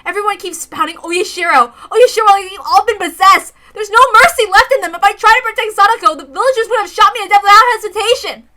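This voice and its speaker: A woman's voice: very high voice, fast, hardly any pauses, voice pushed up. A woman voicing a wounded, desperate young girl in a dramatic story, bitter and anguished in her protests. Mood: bitter, agitated. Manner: anguished, protesting, loud outbursts.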